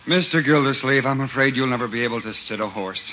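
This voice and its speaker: An actor playing an exasperated, dryly sarcastic riding instructor in a 1950s radio sitcom. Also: male voice, medium pitch, fast talker, close to an everyday voice. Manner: dry, sarcastic. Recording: noisy, muffled 1950s radio recording.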